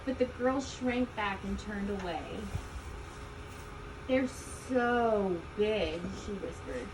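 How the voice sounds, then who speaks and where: A woman reading a children's story aloud, expressively, with deliberate emphasis on key words. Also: medium pitch. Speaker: storybook reader. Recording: distant mic, loud background ambient noise.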